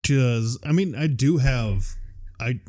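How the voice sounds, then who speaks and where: A man's voice: medium pitch, lively intonation, slow pace, dark tone, dry recording, studio quality. A man co-hosting a casual, unscripted chat, talking in a loose, rambling way and sounding tired. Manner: rambling, loosely strung thoughts. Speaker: podcast co-host. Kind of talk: casual unscripted chat about films and games.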